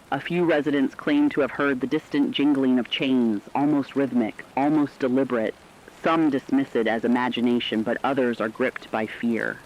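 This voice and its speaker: A man playing a solemn radio co-anchor, giving measured, foreboding warnings in a scripted horror broadcast. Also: medium pitch, lightly acted. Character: ominous radio co-anchor warning of an ancient legend. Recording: muffled lo-fi audio, faint noise.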